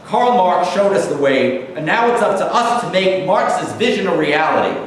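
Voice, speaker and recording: A man acts out a fervent Marxist agitator in a staged reading, declaiming slogans solemnly in a high male voice. The sound is very echoey and distant. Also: big pitch swings, moderate pace. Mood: fervent, rousing. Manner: solemn, slogan-laden oratory. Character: fervent male Marxist orator preaching revolution. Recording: very echoey room, distant mic, background noise.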